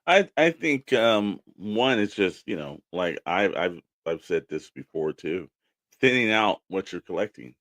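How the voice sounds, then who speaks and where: A man's voice: deep voice, lively intonation, unhurried, fairly bright tone, dry recording, clean studio sound. A man co-hosting a podcast, chatting informally and giving advice in a rambling, conversational way. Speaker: podcast co-host. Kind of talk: casual podcast chat.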